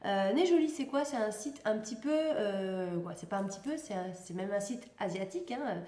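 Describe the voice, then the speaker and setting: A woman's voice: medium pitch, expressive, moderate pace, dark tone, roomy sound. A young woman with a stuffy nose from a cold, chatting casually and rambling as she tests beauty products in a vlog. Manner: chatty, rambling, self-correcting, laughs often. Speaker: beauty vlogger.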